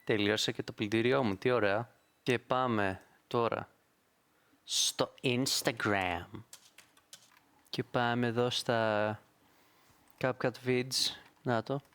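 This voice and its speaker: A man's voice: mid-range voice, steady tone, pauses often, a bit bright, clear, resonant tone. A man giving a casual, rambling, playful screen-recorded tutorial.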